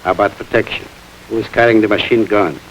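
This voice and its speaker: An actor playing a surly, hot-tempered gangster who talks in a blunt, sneering, resentful way, in 1930s crime film style. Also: male voice, lowish voice, steady tone, brisk, a little hiss or hum, close to an everyday voice, poor audio.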